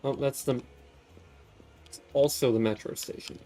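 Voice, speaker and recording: A man's voice: medium pitch, steady tone, unhurried, mellow, soft background music. A man giving casual live commentary as a game streamer, musing aloud and chatting to viewers. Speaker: streamer playing a video game.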